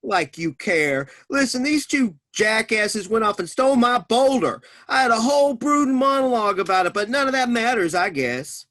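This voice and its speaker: A man playing a brooding, vengeful tough guy with gruff, slangy threats in a comic stage reading. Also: extremely high pitch, lively intonation, normal speed, few pauses, a bit bright, pitched above the performer's own voice. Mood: indignant, menacing. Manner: gruff slangy threats, folksy wiseguy talk.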